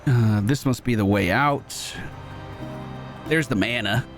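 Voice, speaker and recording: A man's voice: mid-range voice, slow. A man hosting a gaming video, giving upbeat running commentary on his own play. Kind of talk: gameplay commentary. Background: video game music.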